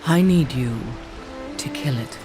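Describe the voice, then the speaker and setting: An actor playing an ancient, sorrowful shaman of a stone people in a video game, solemn and measured. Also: a little high, natural voice. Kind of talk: video game cutscene acting. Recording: clear music behind the voice. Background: game score.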